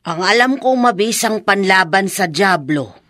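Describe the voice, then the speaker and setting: Radio horror psychic: elderly woman, stern and devout, slow delivery, sharp tone, dry studio sound. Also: mid-range voice, animated, dry recording. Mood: stern, solemn. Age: older. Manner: deliberate, admonishing. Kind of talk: Filipino radio horror drama acting.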